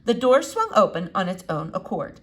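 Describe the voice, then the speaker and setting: Woman reading a kids book out loud, super expressive, lots of up and down, pauses for drama. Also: medium pitch. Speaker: reader of a children's novel. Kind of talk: expressive storybook read-aloud.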